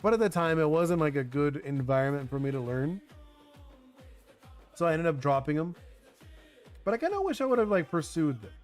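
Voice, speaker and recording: A man's voice: fairly high pitch, big pitch swings, fairly quick, pauses often. A young man whose first language is Spanish, chatting casually on a live stream while he designs a card game, rambling with lots of "like" and restarts. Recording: faint music behind the voice.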